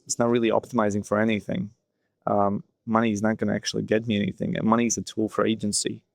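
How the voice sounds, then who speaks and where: A young man in his twenties thinks out loud in a casual solo talk, his low voice staying flat and even. He wanders through his points with plenty of "like" and "you know" fillers. The sound is dry and close, with no room around it. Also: quick pace, creaky, dry recording. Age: 20s. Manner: thinking aloud, loose and unscripted, full of "like" and "you know". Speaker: vlogger. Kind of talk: reflective solo monologue.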